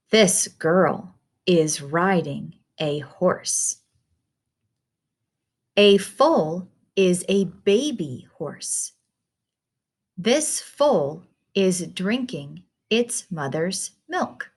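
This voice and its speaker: A woman reading a children's picture book aloud in a simple, deliberate, instructive way, putting questions to the listener. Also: on the low side, slightly rough.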